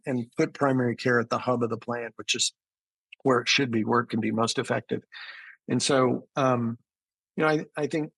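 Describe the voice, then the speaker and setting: A man's voice: mid-range voice, creaky, a bit bright, no echo, rough recording. A man giving explanatory, conversational answers as an interview guest, often restarting his phrases. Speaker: interview guest, physician and business founder.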